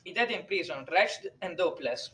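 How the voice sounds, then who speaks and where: A woman voicing a grave, probing priest in an audiobook, with measured, solemn and formal delivery. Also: fairly low pitch, animated, sharp tone.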